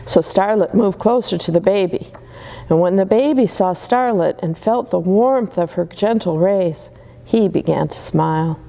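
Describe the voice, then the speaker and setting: Woman, storyteller, slow pace, mellow tone, soothing. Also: mid-range voice, gravelly, low-quality recording. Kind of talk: reading children's stories aloud.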